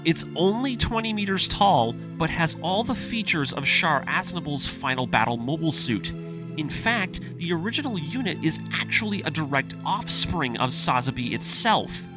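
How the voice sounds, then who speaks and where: Animated, higher-pitched man narrating a video essay. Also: normal speed. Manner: excitable fan, informal with casual swearing. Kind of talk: enthusiastic video essay commentary on anime story. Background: music under the voice.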